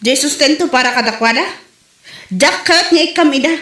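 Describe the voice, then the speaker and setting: A woman's voice: sits high, moderate pace, pushed and bright. A woman playing a demanding, possessive young mistress in an Ilocano comedy audio drama. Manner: petulant, possessive. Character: demanding, spiteful younger mistress of a married man. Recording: roomy, music underneath.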